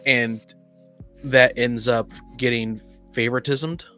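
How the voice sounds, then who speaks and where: A man's voice: mid-range voice, steady tone, slow, pushed and bright, clear, resonant tone. Man, mid-range voice, opinionated and blunt, casual podcast discussion, faint background music. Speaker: podcast panelist. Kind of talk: casual discussion of tabletop gaming.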